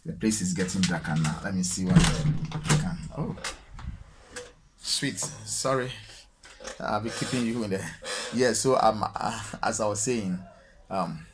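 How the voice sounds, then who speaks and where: A man from Ghana with a Ghanaian accent, talking alone to his viewers in a halting, reflective way, full of fillers and repeated words. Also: fairly low pitch, a little hiss or hum. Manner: slow, reflective, repeats phrases for emphasis. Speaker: solo vlogger. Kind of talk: unscripted spiritual musing. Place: alone, lighting fading.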